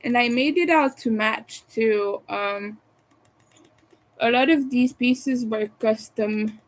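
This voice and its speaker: Brief: French Canadian woman, higher voice, unhurried, hesitant streamer chat from her room at home. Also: rough recording. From Montreal, Quebec, Canada. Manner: soft, hesitant, many fillers, chuckling asides. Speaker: crochet livestreamer. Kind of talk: casual livestream chat, reading and answering chat.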